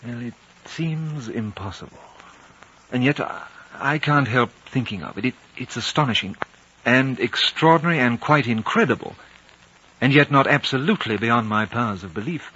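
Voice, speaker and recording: A man acting a thoughtful, incredulous traveler in his late thirties in a 1950s radio drama, speaking in a measured, earnest way. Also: medium pitch, bright, forceful tone, slightly rough. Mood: astonished. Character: thirty-seven-year-old thoughtful, incredulous Western man, narrating his story. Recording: muffled old 1950 radio recording.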